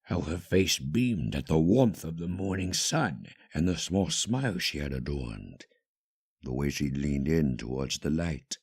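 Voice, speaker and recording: Deep, husky, expressive male storyteller. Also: measured pace. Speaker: fan-fiction narrator. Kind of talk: dramatic scripted story narration.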